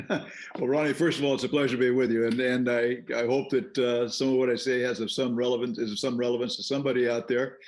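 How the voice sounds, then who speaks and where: A man's voice: medium pitch, little pitch movement, quick pace. An older man, a retired tennis coach, telling stories about his past as a guest in a casual interview.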